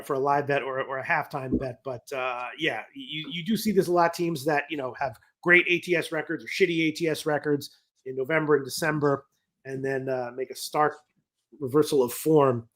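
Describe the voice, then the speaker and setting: A man hosting a sports betting talk show, rambling and correcting himself as he reads viewer comments, his voice going hoarse. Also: medium pitch, fairly even intonation, a little fast, a bit bright. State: losing his voice. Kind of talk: casual betting talk on a livestream.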